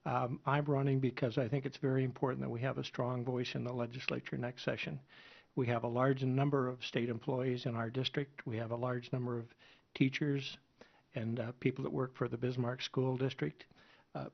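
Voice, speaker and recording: A man's voice: mid-range voice, level tone, moderate pace, creaky. A man from North Dakota, a sitting legislator, giving a modest, matter-of-fact opening statement at a forum. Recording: dull lo-fi broadcast audio.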